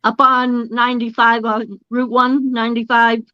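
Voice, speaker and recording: Teasing Boston woman, higher voice. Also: flat delivery, moderate pace, bright, forceful tone, smooth, no room sound, muffled recording. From Massachusetts. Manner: chatty, teasing banter. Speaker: livestream co-host. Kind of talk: casual chat.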